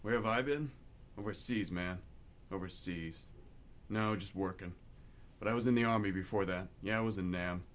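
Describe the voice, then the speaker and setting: A man's voice: sits low, normal speed, natural voice. A man playing a laid-back, evasive older brother home from overseas in a radio play. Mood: casual, guarded. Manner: evasive, casual, clipped answers. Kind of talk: stage-style radio play acting.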